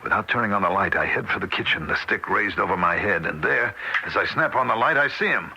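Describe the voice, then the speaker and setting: An actor in an old-time radio drama playing a harried, exasperated husband, excitable and incredulous. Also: male voice, on the low side, fast, vocal fry, breathy edge, full character voice. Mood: tense narration. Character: harried, exasperated husband narrating a ghostly encounter. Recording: noisy, thin old radio recording.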